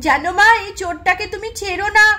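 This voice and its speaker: A woman voicing an eager, excitable little girl in a doll story. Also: very high voice, fast talker, music in the background. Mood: earnest, tattling. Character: young girl, eager, gleeful, tattling little daughter.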